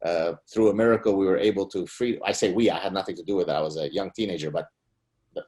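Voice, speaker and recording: A man's voice: steady tone, quick pace, darkish tone. A middle-aged man from Lebanon whose first language is Arabic, speaking emphatically as a guest in an interview. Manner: emphatic, rising pitch on key points. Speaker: guest author. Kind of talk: conversational interview.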